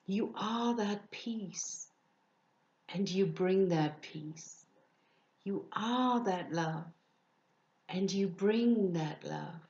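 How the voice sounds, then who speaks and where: A woman leading a guided meditation, speaking softly and soothingly, often dropping into breathy whispers. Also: medium pitch, lo-fi sound. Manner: slow, soft, soothing with breathy whispered phrases.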